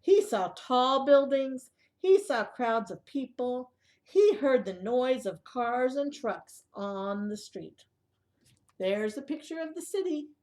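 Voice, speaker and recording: Higher-voiced woman reading to young children. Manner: expressive, child-directed, pausing to ask listeners questions. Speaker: early childhood storyteller reading a picture book aloud. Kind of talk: storybook read-aloud for young children with asides.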